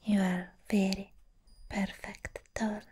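A young woman performing a hushed, whispered ASMR roleplay as a sweetly possessive living doll, pausing deliberately, close to the microphone. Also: mid-range voice, flat delivery. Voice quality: breathy whisper. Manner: slow, hushed, deliberate, with long pauses.

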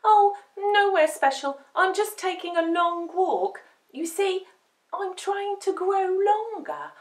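Woman voicing an eager, high-pitched little puppy girl. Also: takes it slowly, echoey room, far from the mic, heavily acted. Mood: polite, chatty. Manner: excitable, pleading, exclaiming. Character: small young female dachshund puppy, eager, wistful.

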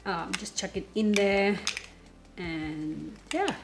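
A woman's voice: medium pitch, takes it slowly, creaky. A woman, a native German speaker, chatting casually through a home cooking demo while sniffly with a cold. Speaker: home cook vlogger. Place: home kitchen, indoors. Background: background music.

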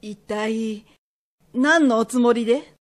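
An actress playing a guarded, worldly merchant's wife in a period radio drama, curt and skeptical. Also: female voice, fairly high pitch, slow.

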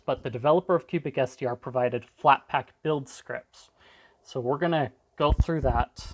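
A man giving a casual, off-the-cuff tech demo, rambling a little and trailing off mid-thought. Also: mid-range voice. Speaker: tech video creator demonstrating a device.

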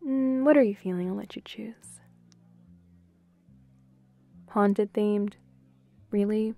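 A woman playing a bubbly, playful, affectionate young girlfriend in an intimate roleplay audio, giggly and given to quick repeated phrases. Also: medium pitch, creaky, highs cut off. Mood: playful, excited. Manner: giggly, breaks into short excited repeats.

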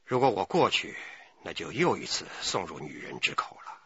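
Weary male boss under questioning, radio drama, deep, dry and close, slightly muffled, evasive. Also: big pitch swings, moderate pace, sharp tone, husky, no room sound, exaggerated voice. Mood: resigned. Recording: dull, muffled recording.

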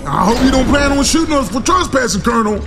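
An actor playing an easygoing, jocular soldier in a video game, speaking in a folksy, wry, casual way. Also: male voice, very high voice, lively intonation, quick pace, gravelly, exaggerated voice. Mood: casual recounting. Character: easygoing, jocular soldier and former sports player. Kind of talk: video game cutscene acting and narration. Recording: music behind.

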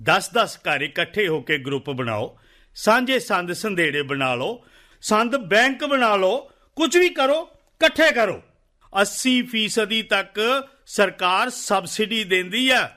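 An actor playing a persuasive, good-humored Punjabi farm adviser in a radio drama, insistent and jovial. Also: male voice, sits high, animated, takes it slowly, sharp tone, exaggerated voice.

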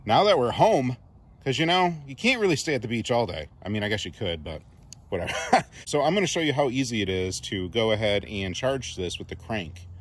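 A man's voice: mid-range voice, sing-song, brisk, breathy edge. A man giving a casual, playful hands-on product demo in a chatty, informal way. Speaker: product reviewer.

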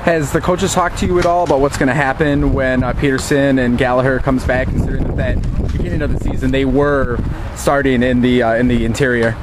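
A male sports interviewer asks halting questions packed with "uh" fillers. He stands outdoors, with clear outdoor noise behind him. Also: medium pitch, steady tone. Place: outdoor football stadium. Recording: noisy outdoor recording. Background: outdoor ambience.

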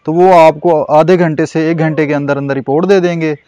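A man in his thirties with a Pakistani Urdu accent, calmly explaining goat breeding and care at an outdoor goat farm, with frequent fillers. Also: on the high side, no echo. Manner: measured and informative, with frequent fillers. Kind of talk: explanatory on-camera interview. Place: outdoors at a covered goat shed.

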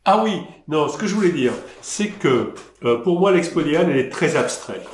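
A man's voice: fairly high pitch, animated, a little fast. Rambling man on a roomy webcam. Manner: hesitant, rambling, many restarts. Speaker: artist answering questions. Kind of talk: live online Q&A. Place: indoor room at a desk. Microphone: webcam on a desk.

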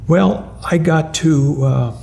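An older man, a retired mathematics professor, answering interview questions in an animated way, often restarting and repeating words. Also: on the high side, slow pace, gravelly. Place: lounge-like room with armchairs and lamps, indoors.

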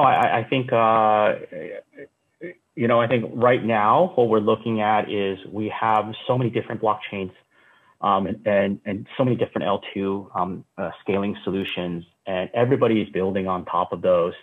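A man in his thirties talks from a room at home through earbuds on a video call. His voice sits low and comes through thin and phone-like. He speaks haltingly, with many fillers and restarts. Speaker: company founder giving a keynote. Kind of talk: casual unscripted talk. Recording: tinny phone-like call audio.

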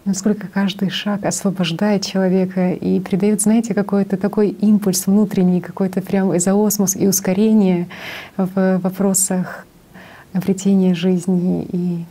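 Young woman interviewer, soft and even, warm husky tone. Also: medium pitch, moderate pace. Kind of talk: studio interview.

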